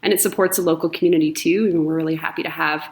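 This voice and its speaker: A middle-aged woman, a high school drama teacher, talking warmly and chattily about her program with frequent ums. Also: steady tone, very fast. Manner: chatty, enthusiastic, lots of ums. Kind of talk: casual podcast conversation.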